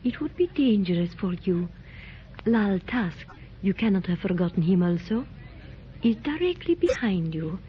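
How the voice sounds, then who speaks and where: A woman's voice: mid-range voice, animated, moderate pace, a little hiss or hum, lightly acted. Woman doing a purring schemer, coaxing, muffled old radio. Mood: scheming. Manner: purring, coaxing, speaks of herself by name. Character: mysterious seductive foreign woman, scheming adventuress. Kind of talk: 1930s radio acting. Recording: dull 1930s radio transcription.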